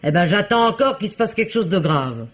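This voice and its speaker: A woman's voice: medium pitch, expressive, fairly quick, natural voice. An actress playing a talkative, gossiping village innkeeper woman in a 1950s radio drama. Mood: chatty. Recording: dull old radio recording.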